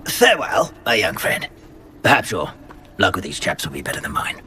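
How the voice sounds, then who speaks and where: An actor playing an eager, courteous British ghost knight in a video game, genteel, deferential and hesitant. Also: male voice, sits low, wildly swooping pitch, normal speed, pushed and bright, slight background noise, exaggerated voice. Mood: nervous, deferential. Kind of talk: video game dialogue acting.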